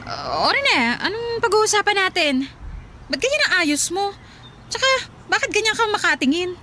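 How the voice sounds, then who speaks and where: A woman's voice: very high voice, big pitch swings, moderate pace, noisy background. A woman playing a petty, defensive teenage schoolgirl in a radio drama, whiny and indignant as she talks back. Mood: defensive, confused. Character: defensive, petty teenage girl, a backbiting high school friend.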